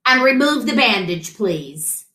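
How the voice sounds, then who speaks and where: A woman's voice: on the high side, sing-song, measured pace, pushed and bright, exaggerated voice. A woman voicing a cautious, polite but firm male mouse dentist in a children's storybook. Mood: firm, instructing. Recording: roomy echo.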